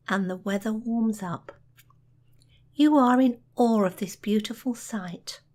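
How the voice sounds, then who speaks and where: A woman narrates a guided meditation at a very unhurried pace. Her voice sits a little high, and her delivery is soft and soothing, with measured pauses between phrases.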